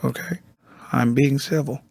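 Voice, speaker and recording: An actor playing a weary, eccentric, soft-spoken intellectual man in a video game, halting and musing, with a raspy, breathy voice, often repeating himself. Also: fairly even intonation, no room sound, studio quality. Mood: awkward, civil. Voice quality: raspy, breathy, gravelly low voice. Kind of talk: video game character dialogue.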